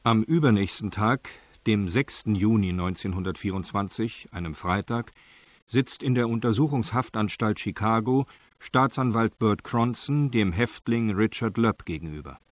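An actor narrating a radio crime drama in a factual, precise, documentary-style way. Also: male voice, fairly low pitch, moderate pace, no room sound, natural voice. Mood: matter-of-fact. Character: matter-of-fact male narrator of a crime case.

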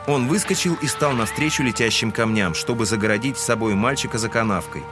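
A man's voice: mid-range voice, a little fast. A man giving scripted literary narration in an audio drama. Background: music.

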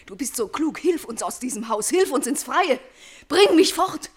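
A woman's voice: high-pitched, lively intonation, moderate pace. An actress playing a frightened young girl desperate to escape, pleading and rising to frantic cries in a classical radio tragedy. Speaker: radio drama actor. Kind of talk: classical tragic radio play acting.

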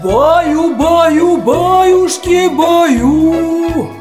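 Lullaby singer for a children's musical tale, played by a woman: high, very drawn-out crooning over music, echoey. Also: heavily acted. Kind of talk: slow theatrical lullaby, half sung.

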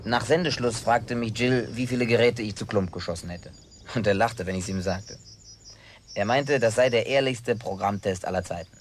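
A man's voice: on the low side, moderate pace, slight background noise, natural voice. An actor playing a stubborn, simple-hearted survivor in a radio play, telling his story in a colloquial, grumbling way with wry humor. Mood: wry, nostalgic. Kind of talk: German radio play dialogue.

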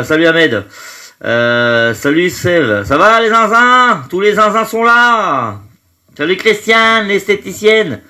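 A man's voice: a little high, pushed and bright. Stuffy-nosed chatty man hosting a stream. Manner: chatty, greets viewers by name, trails off with fillers. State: stuffy from hay fever. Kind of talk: casual live stream chat greeting viewers. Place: at home.

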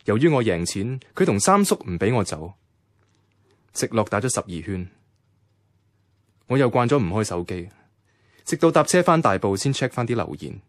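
An actor with a Hong Kong Cantonese accent playing a wavering, lovelorn young man who narrates a radio drama in a colloquial, introspective way. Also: fairly low pitch. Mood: wistful. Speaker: lead narrator.

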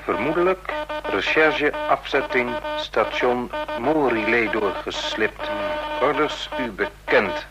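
A dutiful subordinate agent reading out reports in a radio play. He is clipped and matter-of-fact, slow in pace, at a middling pitch. Music plays clearly behind him. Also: animated, rough recording. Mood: clipped, official. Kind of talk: Dutch radio play acting.

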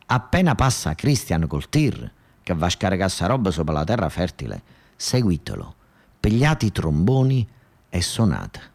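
A bossy young Neapolitan woman, acted out by a male storyteller, rallies her brothers in dialect. The delivery is emphatic and commanding, swinging widely in pitch as on a theatre stage. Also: sing-song, moderate pace, creaky, darkish tone, exaggerated voice.

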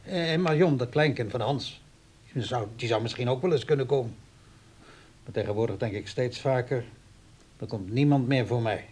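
A man's voice: mid-range voice, moderate pace, darkish tone, breathy edge, lightly acted. An actor playing a gloomy old father who feels forgotten, resigned and brooding, in a radio play. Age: older.

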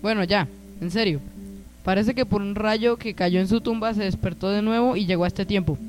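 A man's voice: sits high, moderate pace, faint music behind, lightly acted, rough recording. A Colombian man playing an earnest, slangy young friend in an amateur radio drama, colloquial and insistent. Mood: earnest, explaining.

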